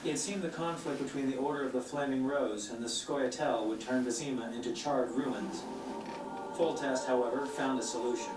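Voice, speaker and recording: A man's voice: mid-range voice, moderate pace, close to an everyday voice. Male actor as wry game bard and storyteller: eloquent, theatrical, slightly distant, music playing behind. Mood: solemn storytelling. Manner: eloquent, dramatic, storyteller's phrasing. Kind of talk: video game dialogue and storytelling narration. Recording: roomy game playback, distant, music underneath.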